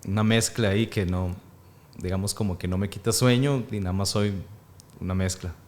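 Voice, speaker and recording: Thoughtful thirtysomething man, low voice. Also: fairly even intonation, moderate pace, a little mellow. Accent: Costa Rican Spanish. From Peru. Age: 30s. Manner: thoughtful, hesitant, many fillers. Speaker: actor and guest. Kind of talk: casual interview.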